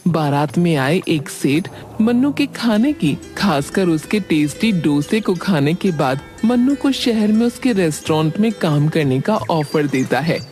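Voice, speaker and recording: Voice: medium pitch, lively intonation, measured pace, darkish tone. A narrator reading a scripted cartoon story in a storytelling style. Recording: background music under voice.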